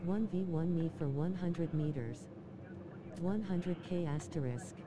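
A synthetic female voice reading out chat messages in a flat, literal way. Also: fairly low pitch, measured pace. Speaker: text-to-speech chat reader. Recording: clear game noise behind the voice.

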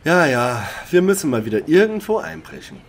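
A man's voice: fairly high pitch, big pitch swings, normal speed, gravelly, loud music behind the voice. A man playing a practical, eager and wry young detective sidekick in a parody audio drama. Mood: brisk, practical.

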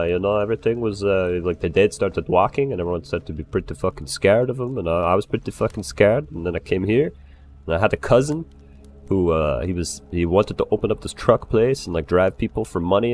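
A man's voice: low-pitched, a little mellow, close to an everyday voice. A man voicing a breezy, boastful traveling bar owner in an improvised video game roleplay, rambling and swearing freely. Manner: rambling, profane. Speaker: roleplay player and narrator. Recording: noisy game audio, ambient background sound. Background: game world ambience.

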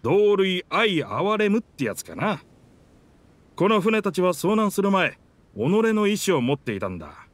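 A man voicing a proud, boastful talking pirate ship in a Japanese game dub, with theatrical, rough masculine speech. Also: high-pitched, big pitch swings, measured pace. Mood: reflective. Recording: music under the dialogue.

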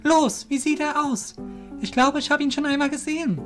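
A man's voice: extremely high pitch, normal speed, a bit bright, soft background music, pitched above the performer's own voice. A man voicing an eager, insistent male adventurer in an amateur audio drama. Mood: eager, urgent.

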